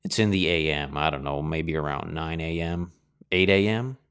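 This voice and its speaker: A man's voice: rumbling low, moderate pace, fairly bright tone, clear, resonant tone. A middle-aged man hosting a show, rambling and nostalgic in a casual monologue with many fillers. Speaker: host.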